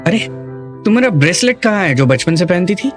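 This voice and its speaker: Caring older-brother type guy, gently teasing, dubbed drama, music clearly behind. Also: high-pitched, big pitch swings, fairly quick.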